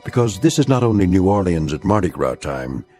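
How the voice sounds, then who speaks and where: A man narrating a radio drama in a sardonic, ominous way with deliberate dramatic phrasing. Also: deep voice, sing-song, mellow, faint music behind.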